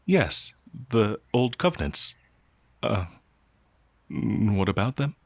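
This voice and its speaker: Casting: male actor for a shy, innocent young werewolf in fantasy ASMR roleplay; low register, halting delivery, very dry close recording. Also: very animated, creaky, no echo, full character voice. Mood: earnest, wistful, then puzzled, amused. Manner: hesitant, halting, stammering, easily flustered. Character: gentle, timid, naive young male werewolf peasant.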